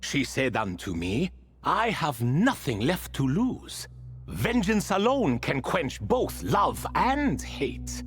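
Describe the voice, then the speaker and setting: An ancient bird-spirit king for a fantasy game, proud and whimsical. His fairly high voice swoops dramatically and takes its time over grand, old-fashioned storytelling, with music faintly behind. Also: wildly swooping pitch, takes it slowly, fairly bright tone, heavily acted. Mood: solemn storytelling. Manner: theatrical, storytelling, archaic grand phrasing. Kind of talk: scripted game dialogue.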